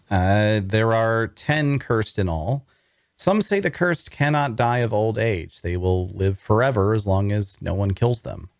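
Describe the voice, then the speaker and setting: A man's voice: fairly low pitch, a little mellow, dry recording, studio quality. A man playing a wounded, bookish prisoner in an audio drama table read, wary and inquisitive, with a hesitant, questioning delivery.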